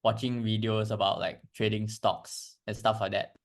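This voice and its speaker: A twenty-two-year-old man from Malaysia chatting casually as a podcast guest, halting and repeating himself. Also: lowish voice, level tone, gravelly. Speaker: podcast guest, crypto trader. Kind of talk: casual chat.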